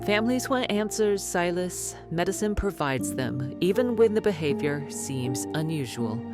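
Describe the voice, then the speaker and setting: A woman acting as a self-assured medical expert in a radio drama. Her voice is notably low, with music underneath. She is clinical, condescending and dismissive. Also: very animated, unhurried. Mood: lecturing. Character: smug, dismissive female doctor and skeptic author. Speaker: doctor, expert guest.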